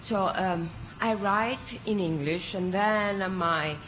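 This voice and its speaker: An Italian-accented woman, an academic and native Italian speaker, haltingly asking a question from an audience. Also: medium pitch, a bit bright, rough recording. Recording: live room with background noise.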